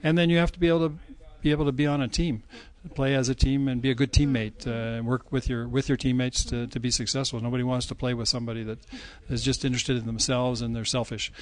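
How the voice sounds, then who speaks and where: A middle-aged man whose first language is English answers questions in a relaxed, steady way, with the odd filler. He is a coach standing by a sponsor wall, speaking into a microphone that the interviewer holds out to him. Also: medium pitch, fast talker, few pauses. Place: indoor press wall, small room. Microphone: handheld mic held by interviewer.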